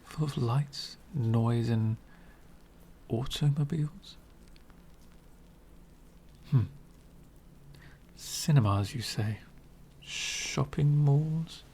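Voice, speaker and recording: A man playing an elegant, centuries-old vampire host in an ASMR roleplay, lonely, wry and courtly, soft-spoken with frequent whispers and gentle condescension. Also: mid-range voice, drawn-out words, long pauses, a little hiss or hum. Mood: curious. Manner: soft-spoken, often dropping into breathy whispers.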